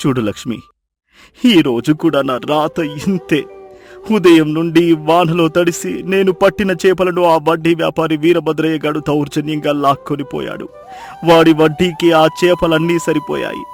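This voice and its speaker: A man's voice: a little high, steady tone, normal speed, vocal fry. A man playing a poor, humble fisherman in a moral story, pleading and lamenting in despair. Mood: sorrowful, defeated. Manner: pleading, lamenting, self-blaming. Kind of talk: acted moral-story dialogue. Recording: music behind the voice.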